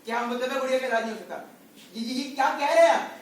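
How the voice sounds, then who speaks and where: Casting: male actor as distraught pleading father, very high-pitched, live stage play heard from far off. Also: rapid-fire. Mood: desperate. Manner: pleading, repeats himself insistently. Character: distraught clown father pleading for his sick daughter. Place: indoor stage, recorded from a distance. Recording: echoey stage hall, far mic, faint noise.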